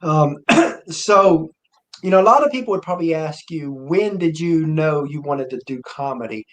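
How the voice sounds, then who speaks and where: A man's voice: a little high, expressive, normal speed, a bit bright. A man hosting an interview show, asking long, earnest questions in a casual tone. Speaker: show host.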